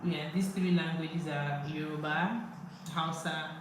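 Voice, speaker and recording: A woman's voice: deep voice, fairly even intonation, takes it slowly. A woman with a Nigerian accent, explaining things patiently and step by step, often repeating herself in a casual chat. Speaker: host. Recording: very echoey room, faint background noise.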